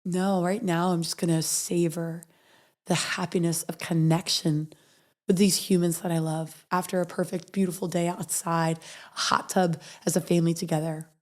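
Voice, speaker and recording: A woman hosting a motivational podcast, her voice raspy and hoarse as she recovers from being sick. She talks earnestly and reads affirmations softly and slowly. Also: fairly low pitch, little pitch movement. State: hoarse from recovering after illness. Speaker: podcast host.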